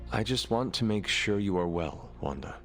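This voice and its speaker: A man playing a stoic, probing warrior hero in a video game cutscene, with a gravelly voice and formal, deliberate speech. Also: lowish voice, lively intonation, faint music behind, close to an everyday voice. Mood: cautious, caring. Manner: formal, deliberate, few contractions.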